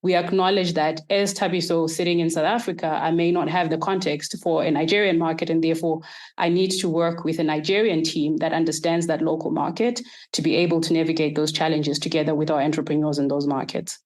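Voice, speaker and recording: Low-voiced woman, measured. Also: fairly even intonation, a little mellow. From Zimbabwe. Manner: measured, structured, explains in lists. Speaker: guest, startup accelerator executive. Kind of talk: podcast interview answers.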